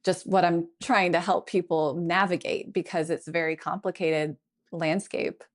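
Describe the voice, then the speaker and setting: Lower-voiced woman explaining tech carefully. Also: fairly even intonation, normal speed. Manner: thoughtful, explanatory, lays out points in lists. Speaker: guest tech consultant. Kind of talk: conversational podcast interview.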